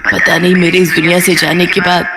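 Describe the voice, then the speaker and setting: A woman's voice: on the low side, level tone, a little fast, over a noisy crowd, close to an everyday voice. A woman voicing a loving, exasperated older mother-in-law who keeps the peace in a cartoon family story. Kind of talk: Hindi cartoon moral story acting.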